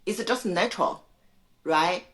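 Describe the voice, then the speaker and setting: A woman being interviewed on a podcast who speaks halting non-native English in simple words, with frequent restarts, sounding frank and sure of herself. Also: lowish voice, a bit bright. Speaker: guest, real estate agent.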